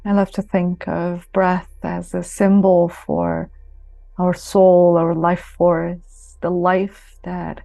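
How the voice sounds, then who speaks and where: A woman leading a guided yoga nidra meditation in a soothing, unhurried way, with long pauses between phrases. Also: lowish voice, flat delivery, vocal fry, dry recording. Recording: close dry voice over soft music. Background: soft music.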